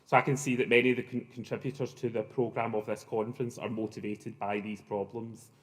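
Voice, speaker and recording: A man's voice: medium pitch, level tone, a little fast. A middle-aged man with a Glasgow accent giving opening remarks at a conference, hesitant, with many ums and restarts. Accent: Glaswegian. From Scotland.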